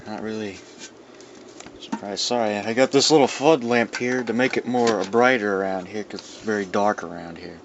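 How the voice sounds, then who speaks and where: A man's voice: fairly low pitch, normal speed. A man giving a casual, unscripted show-and-tell as a collector, rambling and musing to himself as he handles things. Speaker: record collector presenting his albums. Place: indoors in a dimly lit room. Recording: dull lo-fi sound with clear background noise.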